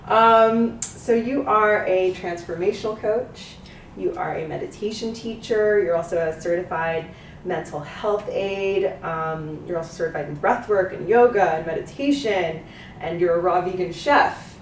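An enthusiastic woman hosts a podcast and interviews a guest in a lively, upbeat way. She laughs easily. Steady noise runs under her voice. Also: lowish voice, a little slow. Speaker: podcast host. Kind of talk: scripted intro then casual interview. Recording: noisy background.

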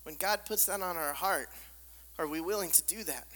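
A man with a squeaky voice preaching a sermon, hammering points through repetition and dropping to soft, hushed lines. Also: moderate pace. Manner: emphatic repetition, drops to soft near-whispers. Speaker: pastor. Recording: long reverb of a large hall.

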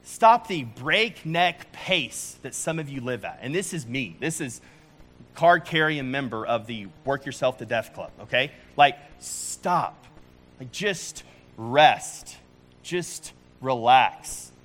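A man's voice: medium pitch, lively intonation, takes it slowly, fairly bright tone. A man in his forties preaching a sermon in a casual, conversational way, often restarting his sentences. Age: 40s. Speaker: pastor.

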